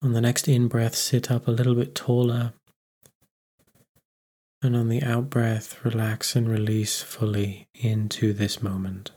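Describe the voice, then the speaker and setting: A man guiding a meditation, giving gentle instructions with unhurried pauses. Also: medium pitch, flat delivery. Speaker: meditation guide. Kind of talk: guided meditation narration.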